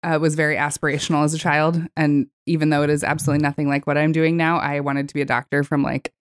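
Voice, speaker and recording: A woman's voice: low-pitched, little pitch movement, fast, clear, resonant tone. A woman from Texas who grew up in California, a florist guest on a podcast, telling her story in a wry, casual way. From Texas, raised in California. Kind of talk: casual interview chat.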